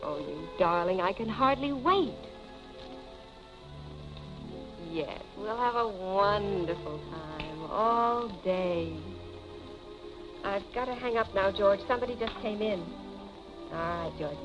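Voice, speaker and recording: Actress as sardonic wealthy wife, 1940s film. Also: female voice, medium pitch, animated, a little slow, highs cut off, close to an everyday voice. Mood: gushing. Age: young adult. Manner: arch, dry, clipped irony. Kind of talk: 1940s Hollywood film acting. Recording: old film soundtrack, music behind.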